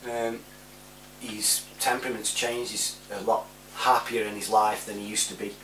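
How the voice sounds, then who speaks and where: A British man in his forties chatting casually to camera in a laid-back, rambling way, giving shout-outs. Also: fairly low pitch, level tone, moderate pace. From United Kingdom. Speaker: vlogger. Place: small room indoors at home. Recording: roomy, distant mic with faint hum.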